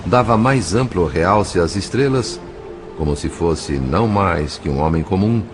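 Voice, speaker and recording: A Brazilian man playing an earnest, reverent spirit who narrates an audio drama in a formal, measured, literary way. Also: low-pitched, expressive, soft background music. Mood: reflective, reverent.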